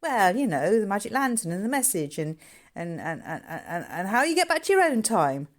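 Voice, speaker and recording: A woman's voice: medium pitch, big pitch swings, normal speed, pitched above the performer's own voice, natural voice. A woman reads as an eager working-class boy in a storybook. He is loyal and earnest. His talk is plain and informal. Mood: stammering. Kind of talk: character dialogue in a novel reading.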